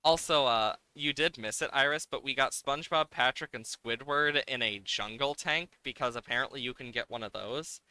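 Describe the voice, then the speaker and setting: A man live-streaming a game, chatting casually with viewers in an animated, exclamatory way and sometimes swearing. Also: medium pitch, normal speed, hardly any pauses, smooth, dry recording.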